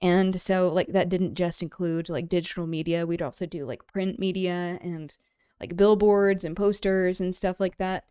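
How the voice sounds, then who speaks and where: A woman hosting a livestream, thinking aloud in a casual, discursive and halting way. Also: fairly low pitch, steady tone, mellow, smooth, dry recording, studio quality. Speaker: livestream host. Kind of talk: casual livestream chat, reflective commentary.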